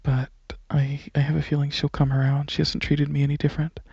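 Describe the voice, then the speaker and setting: A young man giving a soft-spoken, casual ASMR ramble, halting and hesitant, often slipping into breathy whispers. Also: on the high side, completely flat delivery, no room sound, dull audio. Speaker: ASMR video creator and channel host.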